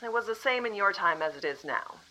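A woman acting a composed female official from the future in an audio drama, calmly apologetic and matter-of-fact. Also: mid-range voice, brisk, rough recording.

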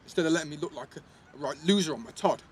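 A man's voice: fairly high pitch, racing, slight background noise, lightly acted. A young man playing a defensive, sweary teenage schoolboy in a naturalistic audio drama, with an East Midlands English accent and a blunt, slangy delivery. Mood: indignant. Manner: blunt, slangy, full of tag questions.

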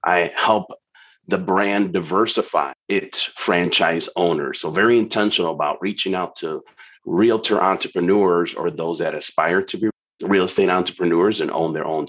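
A man's voice: low-pitched, fairly bright tone, dull audio. A man from Peru, a guest on a business podcast, answering questions in an eager, gracious way. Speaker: guest, DEI leader. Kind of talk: interview answers.

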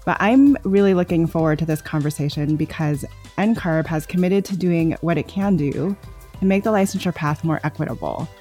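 A woman co-hosting a podcast, introducing topics and guests in a steady, articulate way. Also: sits low, normal speed, soft background music. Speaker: podcast co-host.

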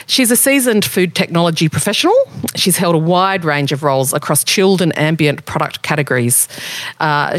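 Chatty low-voiced woman host. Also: dry recording. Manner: chatty, laughs often, lively. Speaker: podcast host and editor. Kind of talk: casual interview.

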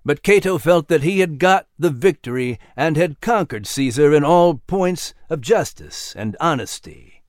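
A man voicing a gentle, grave male scholar of the ancient world, measured and reflective, in an audio story. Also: on the high side, animated, no echo, clean studio sound. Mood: solemn.

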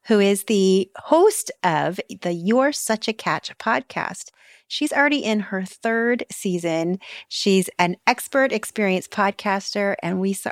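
A woman's voice: medium pitch, dry recording, clean studio sound. Effusive woman podcast coach. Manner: enthusiastic, effusive. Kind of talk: upbeat podcast interview.

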